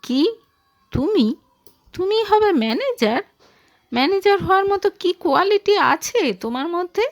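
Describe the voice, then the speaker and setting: Dismissive, self-centred husband for audio drama read by a woman, high-pitched, belittling, animated. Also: a little slow, exaggerated voice. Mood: scornful disbelief. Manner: belittling, questioning.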